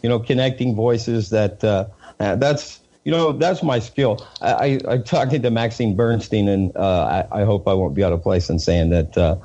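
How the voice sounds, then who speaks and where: A man with a Southern US accent, a reporter being interviewed, talking in a halting way with many fillers and repeated words. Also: lowish voice, dark tone, lo-fi sound. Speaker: independent news reporter, guest. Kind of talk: casual interview talk.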